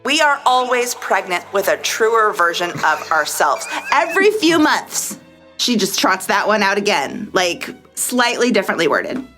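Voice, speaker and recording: A woman's voice: medium pitch, big pitch swings, unhurried, sharp tone, soft background music, rough recording. A woman co-hosting a podcast, speaking in a theatrical, emphatic and sardonic way. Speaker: podcast co-host. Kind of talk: mock-dramatic reading of tweets, mixed with casual chat.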